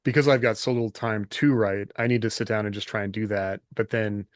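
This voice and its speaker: A man's voice: on the low side, fairly even intonation, brisk, no room sound, clean studio sound. A middle-aged man talking casually as a podcast host in a reflective, loosely rambling way.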